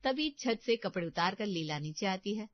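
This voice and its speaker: A woman's voice: mid-range voice, lively intonation, moderate pace, no echo, muffled recording. A woman narrator reading a scripted family story.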